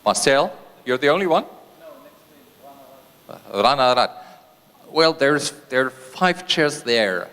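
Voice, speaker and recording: A man's voice: mid-range voice, expressive, fairly bright tone, clear, resonant tone. A man moderating a seminar panel without a script, hesitant and often repeating his words. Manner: hesitant, repeats words, many drawn-out pauses. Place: large seminar hall. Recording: reverberant seminar hall.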